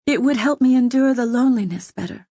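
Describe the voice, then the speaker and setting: A woman's voice: fairly high pitch, brisk, mellow, no echo, clean studio sound, voice pushed up. A woman reading an audiobook, voicing a frightened noblewoman who pleads in trembling whispers. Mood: grateful. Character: frightened, desperate noblewoman, grief-stricken and pleading.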